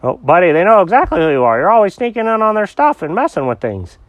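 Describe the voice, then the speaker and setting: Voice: mid-range voice, big pitch swings, fast, no room sound, dull audio, voice pushed up, lightly acted. A storyteller voicing a patient, sensible male animal companion in a soothing but exasperated manner. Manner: calls the other buddy, soothing and exasperated.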